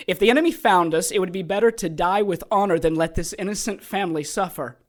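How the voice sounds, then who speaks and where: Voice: medium pitch, fast, lightly acted. An actor as a theatrical, gleefully sadistic mad sorcerer. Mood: grim storytelling. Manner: taunting, theatrical, gleeful cackling. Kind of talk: audio drama acting, storytelling monologue.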